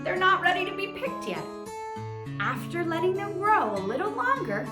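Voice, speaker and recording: A woman hosting a children's lesson and read-aloud, speaking in an exaggerated child-directed way and putting questions to her listeners. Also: sits high. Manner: exaggerated child-directed delivery, asking questions and pausing for answers. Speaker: children's teacher and host. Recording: roomy echo with plucked guitar music. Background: plucked guitar music.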